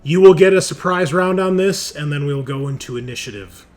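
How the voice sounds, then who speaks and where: A man's voice: fairly high pitch, a little fast, a little mellow. A man acting as game master, speaking casually and profanely as he thinks aloud while running a tabletop game. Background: background music.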